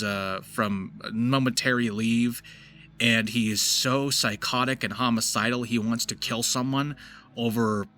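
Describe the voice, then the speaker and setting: A man with a bright, forceful voice at an ordinary male pitch gives a casual walkthrough as a voice actor. He explains technique conversationally, with faint music behind him. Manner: slips into gritty character demonstrations. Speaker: voice actor giving tips.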